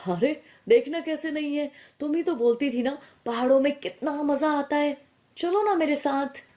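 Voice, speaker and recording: A woman's voice: sits high, moderate pace, dark tone, voice pushed up, lightly acted. A woman voicing a playful young husband in a story, coaxing, insistent and teasing. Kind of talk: acted storytelling dialogue.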